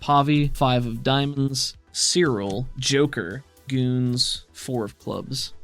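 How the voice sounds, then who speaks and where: A man runs a tabletop RPG as game master. He narrates and referees in an explanatory, conversational manner, at an average pitch, with faint music underneath. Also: steady tone, takes it slowly, a bit bright.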